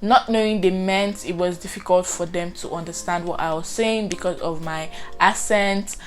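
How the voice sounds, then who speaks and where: A woman's voice: fairly low pitch, fairly even intonation, moderate pace, soft background music. A young woman from Nigeria with a Nigerian accent, chatting expressively in a casual vlog and acting out her reactions. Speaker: vlogger.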